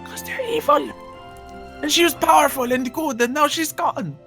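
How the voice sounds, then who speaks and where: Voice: sits high, moderate pace, fairly bright tone. A performer voicing a grave, weary magic mentor in an improvised Minecraft roleplay, solemn but reassuring, with music behind. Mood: sorrowful, bitter. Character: Lofo, grave, defeated magic mentor of a guild.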